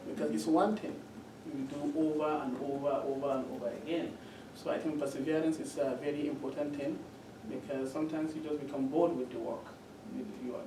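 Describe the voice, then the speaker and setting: Man speaking from afar in an echoey room. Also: mid-range voice. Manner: measured, with frequent pauses and fillers. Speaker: panelist. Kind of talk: panel discussion advice. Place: university panel room. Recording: echoey room from far off, with noise.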